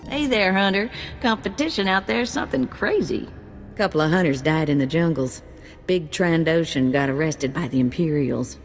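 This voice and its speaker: A wry, streetwise broker of bounties in a sci-fi video game. She talks in a low register with teasing, folksy turns of phrase and a touch of hall reverb. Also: expressive, normal speed, soft background music. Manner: folksy, colloquial, sardonic.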